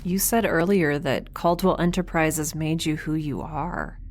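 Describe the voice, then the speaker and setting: A frank, principled woman of a starship crew, with a lowish voice. She speaks earnestly and firmly with dry wit, in naturalistic sci-fi audio drama style over a noticeable bed of background ambience. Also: unhurried, a little hiss or hum. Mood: earnest, encouraging. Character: frank, principled woman, caring space crewmate to a captain.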